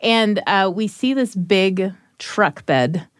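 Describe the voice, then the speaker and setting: A woman producer telling a personal story in casual radio chat at a studio desk, animated and quick to laugh. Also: mid-range voice, clean tone, no echo.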